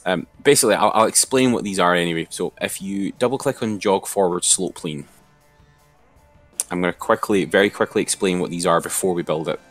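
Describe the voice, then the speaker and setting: A man's voice: sits low, a little fast, faint music behind. A man giving an informal, step-by-step screen-share tutorial as an instructor.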